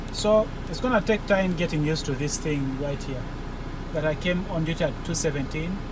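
A man in his forties, a truck driver, thinking aloud as he works through a screen in the cab of his parked truck, hesitant and halting. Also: fairly high pitch. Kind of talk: casual vlog walkthrough. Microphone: phone camera held in the cab. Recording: engine and fan noise in a truck cab.

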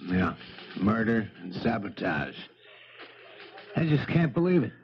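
A man's voice: lowish voice, big pitch swings, unhurried, faint music behind. A man acting a doubtful, sympathetic investigator's colleague in a 1970s radio drama. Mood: disbelieving. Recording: muffled, noisy vintage radio recording.

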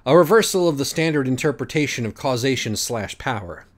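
A man's voice: medium pitch, animated, fairly quick. A man narrating a scripted audiobook story.

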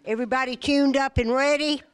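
A woman's voice: sits high, steady tone, a little fast, smooth. An older woman hosts a birthday gathering, teasing and chatting fondly with a handheld mic in a community room. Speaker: event host. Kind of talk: casual hosting with a handheld mic. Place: community center party room, indoors.

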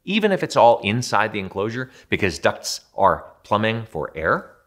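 Lively, low-voiced man explaining at a whiteboard. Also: normal speed. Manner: animated and conversational, self-deprecating asides. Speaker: building performance consultant. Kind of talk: educational explainer to camera. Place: indoor studio by a whiteboard.

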